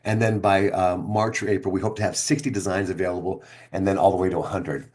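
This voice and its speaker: Low-pitched middle-aged man telling his story. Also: fairly even intonation, fast, a little mellow. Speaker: speaker and storyteller presenting own brand. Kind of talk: casual first-person storytelling to camera.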